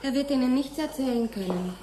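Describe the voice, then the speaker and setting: A woman's voice: high voice, a little fast, lightly acted. A woman playing a matter-of-fact carer in a science fiction radio play. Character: matter-of-fact female carer of an old man. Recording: roomy sound with clear noise.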